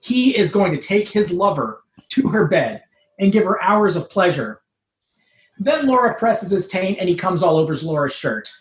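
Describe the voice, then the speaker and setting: A woman's voice: fairly low pitch, sing-song, moderate pace, slight background noise. A woman reading a scripted comic story aloud as narrator. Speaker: troupe member reading own comic story. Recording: roomy, echoing live room.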